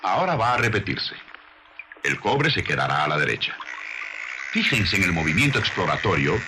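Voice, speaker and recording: Deep-voiced male documentary narrator, noisy soundtrack. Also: very animated, sharp tone. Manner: measured, formal, didactic. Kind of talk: scripted science documentary narration.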